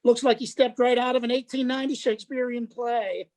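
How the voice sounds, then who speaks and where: A man's voice: very high voice, level tone, brisk, a little mellow. A man acts out a theatrical, haughty sidekick in a radio mystery. He grumbles with dry, hammy indignation, every phrase delivered as if mildly offended. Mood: dry disdain. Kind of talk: 1950s radio detective play acting.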